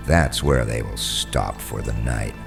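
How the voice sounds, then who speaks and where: A man voicing a stern, terse medieval troop leader with a gravelly voice in an audiobook. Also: rumbling low, takes it slowly, voice pushed down, heavily acted. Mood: curt, commanding. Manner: terse, commanding. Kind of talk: audiobook character dialogue. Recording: music under the voice.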